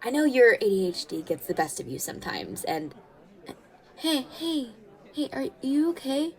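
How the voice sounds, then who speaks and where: A woman playing a caring, protective teenage girl who comforts her best friend in an ASMR roleplay, soothing and gently bossy as she talks. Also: a little high, big pitch swings, moderate pace. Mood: worried, soothing. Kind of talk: ASMR comfort roleplay, one-sided conversation.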